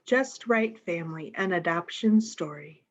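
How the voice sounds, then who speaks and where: A woman's voice: fairly low pitch, animated, measured pace. A woman reading picture books aloud to young children, talking to them directly and asking simple questions. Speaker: storytime reader.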